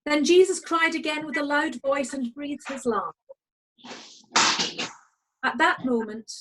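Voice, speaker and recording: A woman with a husky voice, narrating a scripted dramatic scripture reading over a video call. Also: high-pitched, rough recording. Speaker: narrator in a congregation's Passion reading.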